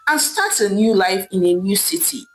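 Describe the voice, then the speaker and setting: Woman acting as young Nigerian man, ardent, earnest, persuasive, animated pitch, storybook romance. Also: normal speed, faint music behind, lightly acted, low-quality recording. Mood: passionate, pleading. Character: passionate young man pleading with his lover. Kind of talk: storytelling character voice.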